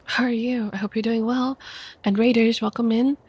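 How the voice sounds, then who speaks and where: A young woman with a stuffy nose from a cold, reading a book aloud softly as an ASMR streamer into a close microphone. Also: fairly high pitch, little pitch movement, breathy edge, dry recording. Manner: soft, quiet, unhurried reading voice. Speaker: VTuber streamer.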